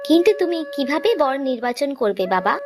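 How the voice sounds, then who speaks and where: A woman voicing a young princess in an animated fairy tale, politely questioning, with music behind her. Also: high-pitched, moderate pace. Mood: curious.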